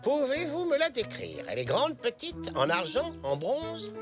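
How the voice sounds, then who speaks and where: Cartoon zebra cop, official but super sing-song and high, music behind. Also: female voice, wildly swooping pitch, normal speed. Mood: businesslike. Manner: matter-of-fact, official. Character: zebra police sergeant, brisk, methodical, polite. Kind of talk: French children's cartoon acting.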